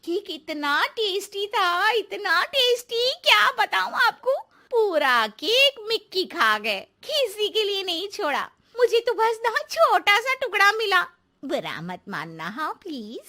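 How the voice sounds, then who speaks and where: A woman's voice: very high-pitched, very animated, slow pace, pushed and bright, pitched above the performer's own voice, heavily acted. A woman voicing a pushy, shameless young married houseguest in a cartoon story, gushing and cajoling with a sense of entitlement. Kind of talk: animated cartoon story dialogue.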